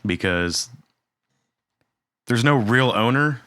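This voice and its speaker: A man's voice: medium pitch, expressive, takes it slowly, fairly bright tone, clean tone, no room sound, clean studio sound. A man co-hosting a casual podcast, making jokey asides. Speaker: podcast co-host. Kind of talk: casual chat.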